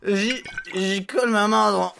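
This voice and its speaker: A man performing a drunken henchman clone for a French comedy audio serial. The voice sits high and crackles with gravel. He slurs his grievances bitterly, soaked in self-pity. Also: fairly even intonation, measured pace. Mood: drunken grumbling.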